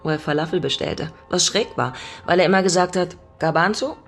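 A woman's voice: on the low side, a little fast, creaky, faint music behind. An actress playing a lonely middle-aged woman in an intimate radio drama, playful yet haunted by her vanished father, with a reflective, teasing way of talking. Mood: dreamy, wistful.

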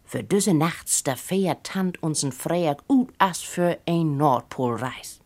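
A woman with a northern German, Low German accent reading a humorous story aloud in an expressive, acted-out manner. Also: slow pace. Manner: animated, acts out characters' speech.

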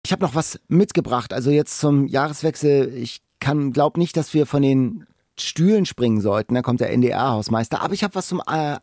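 A man's voice: medium pitch, normal speed, no room sound, clean studio sound. A man chatting casually and playfully as a podcast co-host. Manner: playful, animated. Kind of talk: casual chat. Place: radio studio.

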